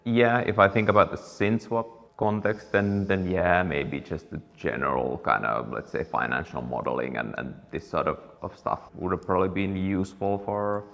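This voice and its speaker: A young man from Finland, chatting casually in a barber shop and pausing to find his words. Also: sits low, gravelly, a bit bright. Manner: thoughtful, searching for words. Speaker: guest, business partner. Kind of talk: casual conversation. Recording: reverberant room, faint ambience.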